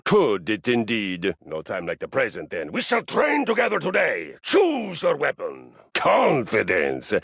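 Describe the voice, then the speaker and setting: An actor voicing a pompous, battle-hungry Viking warrior in a video game, with grandiose and jovially bellicose delivery. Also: male voice, mid-range voice, vocal fry, fairly bright tone, husky, no echo, studio quality, heavily acted. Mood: smug, relaxed, then eager, belligerent. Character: boastful, battle-hungry male Viking warrior, a personified treadmill. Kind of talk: theatrical video game character acting.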